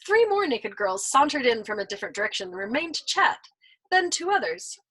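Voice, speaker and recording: Bright, animated woman reading a novel aloud. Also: fairly high pitch, a little fast, husky, poor audio. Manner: expressive, animated reading with small slips. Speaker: storyteller.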